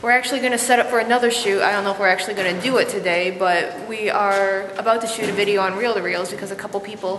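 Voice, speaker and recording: A woman talking informally and a little hesitantly in an off-the-cuff, unscripted vlog. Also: medium pitch. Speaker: vlogger. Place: indoor office and studio rooms. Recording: roomy, echoing, noticeable background hiss.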